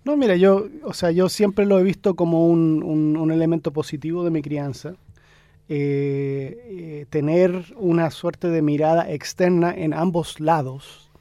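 A man's voice: on the high side, fairly even intonation, measured pace, mellow, no room sound. Thoughtful man in his fifties. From Chile. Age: 50s. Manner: thoughtful, hesitant with many fillers. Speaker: guest, academic. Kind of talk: radio interview answers.

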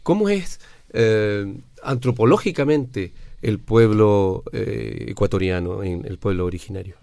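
A man with a low, smooth and resonant voice asks long, searching questions in a panel discussion and pauses often with fillers. The sound is dry and close. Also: warm tone, no room sound.

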